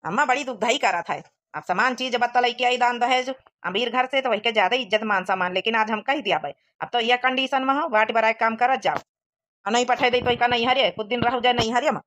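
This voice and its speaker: A woman's voice: fairly high pitch, brisk, fairly bright tone, natural voice. A woman voicing a practical grown village son and husband in a cartoon comedy, speaking firmly and matter-of-factly with an Awadhi accent. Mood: practical, protective.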